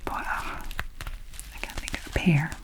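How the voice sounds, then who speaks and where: A woman's voice: slow, rough recording. Whispering woman with a light Scottish accent. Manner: soft unvoiced whisper, rambling and hesitant. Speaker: ASMR creator. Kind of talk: ASMR whispering. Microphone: close to sensitive mics.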